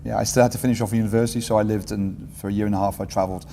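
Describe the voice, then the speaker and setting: A man in his forties, a teacher being interviewed, answering in a relaxed, rambling way with light humor and frequent self-corrections. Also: fairly low pitch, very fast, vocal fry, in a large hall, slight background noise. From England. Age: 40s. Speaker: guest, entrepreneurship teacher.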